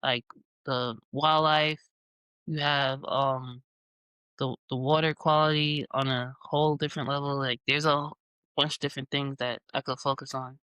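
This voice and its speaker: A man in his twenties, from Jamaica and New York, answering in a relaxed, easygoing way as an interview guest. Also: medium pitch, moderate pace, clean tone, no echo, poor audio. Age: 20s. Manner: relaxed, easygoing, with casual fillers. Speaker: guest scientist.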